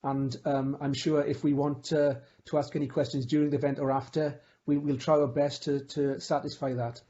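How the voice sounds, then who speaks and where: A man's voice: on the high side, little pitch movement, normal speed, warm tone, highs cut off. A man giving a hesitant opening welcome to an online webinar. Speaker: welcoming speaker.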